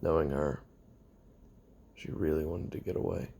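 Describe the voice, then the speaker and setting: A man playing a weary, lonely young retired assassin who makes a halting, brooding confession on tape in an audio roleplay, with a gravelly, husky voice. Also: a bass voice, fairly even intonation, dark tone, heavily acted. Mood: melancholy, resigned. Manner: halting, brooding, trailing off with sighs and pauses.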